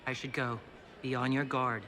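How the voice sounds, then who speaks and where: A man's voice: mid-range voice, flat delivery, normal speed, background noise, lightly acted. A man voicing a male leader in a video game, asking plain, direct questions. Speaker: player character leader. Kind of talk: video game cutscene dialogue. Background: orchestral music.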